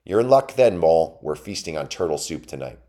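A man's voice: a bass voice, a little fast, darkish tone. A man voicing a tiny, defiant young male mouse knight who speaks in a haughty, challenging way. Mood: furious.

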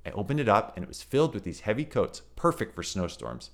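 A man's voice: fairly low pitch, huge pitch swings, fast talker, gravelly, pitched above the performer's own voice, exaggerated voice. A man voicing an earnest young girl telling a run-on story. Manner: breathless storytelling.

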